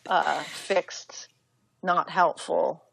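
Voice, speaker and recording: An American woman speaking as an interview guest, talking reflectively in a halting, casual way with many asides. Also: on the low side, fairly even intonation, vocal fry, rough recording. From United States. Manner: reflective, halting, with fillers and self-quoting asides. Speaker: interview guest, yoga teacher and author.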